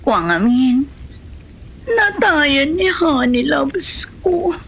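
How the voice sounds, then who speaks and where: A woman's voice: sits high, big pitch swings, extremely slow, slight background noise, exaggerated voice. A woman acting in an Ilocano comedy drama as a scheming younger woman who sweet-talks her elderly sugar daddy, with an Ilocano Filipino accent. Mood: mournful. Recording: muffled audio with music behind.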